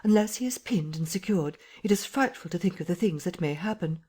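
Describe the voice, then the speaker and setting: A frantic, insistent man who rattles off urgent commands, as a woman reader puts him on. The voice sits well above the reader's own low tone and is pushed hard. It is a storybook figure, read aloud rather than played in a studio drama. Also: expressive, brisk, warm tone, husky. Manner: urgent, pressing, breathless commands.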